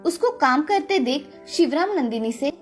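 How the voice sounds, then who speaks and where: A woman's voice: sits high, animated, moderate pace, faint music behind. A woman narrator reading a scripted moral story for children.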